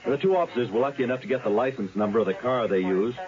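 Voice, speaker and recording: A man playing a brisk cop briefing a colleague. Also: mid-range voice, crowd noise, close to an everyday voice. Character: matter-of-fact male police officer. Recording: muffled old radio broadcast.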